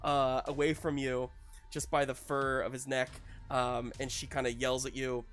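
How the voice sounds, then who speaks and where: A man narrating a tabletop roleplaying game as game master, in an animated, casual storytelling manner with slangy asides. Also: medium pitch, normal speed, soft background music.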